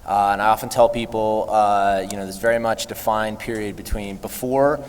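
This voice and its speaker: A man's voice: fairly low pitch, fairly even intonation, normal speed, smooth. A man introducing himself and his work as a panelist, in a semi-formal conversational style. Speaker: panelist, venture capitalist. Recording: noisy, reverberant conference hall.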